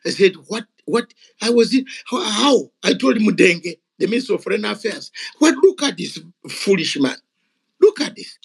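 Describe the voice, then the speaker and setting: A man's voice: high-pitched, lively intonation, moderate pace, creaky, husky. Fiery older man with Ugandan English. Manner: fiery, emphatic, repeats words for force. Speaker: guest speaker at a gathering. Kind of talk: impassioned unscripted speech. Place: gathering of activists.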